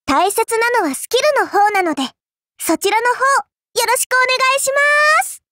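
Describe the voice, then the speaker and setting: A woman's voice: very high voice, big pitch swings, normal speed, bright, forceful tone, no room sound, studio quality, heavily acted. A woman voicing a mischievous, teasing little girl villainess in a mobile game, coy and polite with playful menace. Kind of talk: Japanese mobile game character voice lines.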